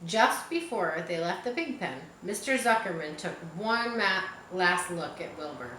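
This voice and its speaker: A woman's voice: on the low side, expressive, unhurried, pushed and bright. A woman reading a children's book aloud, dropping in informal asides. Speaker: storybook reader. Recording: roomy echo, faint background noise.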